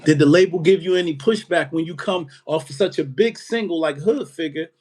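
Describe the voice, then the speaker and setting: A man hosting a podcast, asking questions in a relaxed way with frequent short affirmations. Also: on the high side, brisk. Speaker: podcast host. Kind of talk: casual interview questions.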